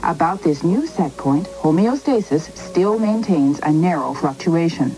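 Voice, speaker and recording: A woman's voice: lowish voice, expressive, measured pace, mellow, faint music behind, low-quality recording. A woman giving scripted science narration in an explanatory, instructional manner.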